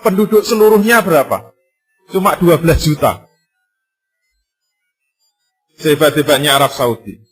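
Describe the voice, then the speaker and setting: Preacher with a higher male voice. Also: normal speed, pauses often, breathy edge, faint music behind. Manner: rhetorical questions and emphatic repetition; lively, conversational and jokey in places. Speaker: Islamic preacher. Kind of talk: sermon.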